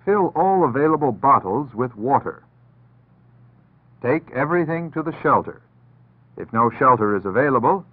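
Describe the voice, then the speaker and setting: An American man reading scripted 1960s public service narration in a measured, earnest, instructive manner. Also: mid-range voice. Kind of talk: 1960s civil defense PSA scripted narration. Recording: tinny band-limited 1960s broadcast audio.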